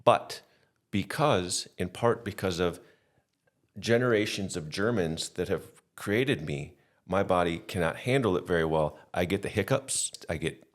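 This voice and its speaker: A middle-aged man from the American Midwest talks in a very deep voice. He wanders through his stories and often makes fun of himself. The talk is loose and chatty, like a podcast conversation. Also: a little slow. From Midwestern United States. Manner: rambling, self-deprecating storyteller. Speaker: podcast guest. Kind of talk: casual chat.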